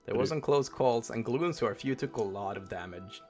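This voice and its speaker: A man's voice: mid-range voice, expressive, normal speed, darkish tone, soft background music. A man from Norway with a Norwegian accent, chatting casually as the game master and host of a streamed tabletop game. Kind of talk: casual post-game chat.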